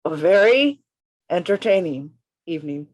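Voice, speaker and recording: A woman's voice: on the low side, lively intonation, no echo, lo-fi sound. A woman playing a bold, defiant male undercover detective in an amateur radio play reading, with emphatic, declamatory delivery over a video call. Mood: cool, courteous. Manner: declamatory, emphatic, deliberate phrasing.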